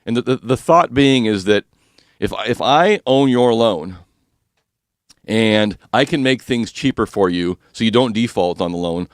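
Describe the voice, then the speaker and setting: A man hosting a finance talk radio show, speaking with a slightly rough, husky voice and explaining mortgage rules in a chatty, joking way. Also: fairly low pitch, lively intonation, unhurried, no echo, studio quality.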